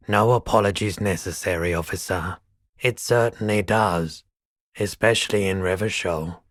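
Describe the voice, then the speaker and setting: A man playing a weary, gentle, eccentric middle-aged pawnshop keeper in a video game, with a laid-back, polite and musing manner. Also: low voice, dry recording, clean studio sound. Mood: polite, detached. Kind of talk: video game character dialogue.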